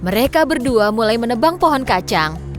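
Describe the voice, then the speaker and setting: A woman storyteller with an Indonesian accent reads a children's tale. Her voice is fairly high and very animated in pitch, with faint music behind it. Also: normal speed. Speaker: storyteller, narrator. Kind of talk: scripted children's story narration.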